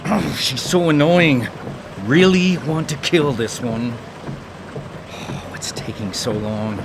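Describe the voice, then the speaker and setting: A man's voice: mid-range voice, takes it slowly, gravelly, noisy background. An actor playing an edgy, secretive killer in a live radio drama, testy and hesitant in his delivery. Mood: irritated, sinister muttering.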